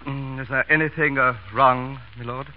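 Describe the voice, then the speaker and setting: An actor playing a discreet, deferential English valet with soft, liquid tones in a 1930s radio drama. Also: male voice, mid-range voice, steady tone, moderate pace, natural voice. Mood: discreet concern. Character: deferential English valet, soft-spoken perfect gentleman's gentleman. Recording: dull vintage radio broadcast recording.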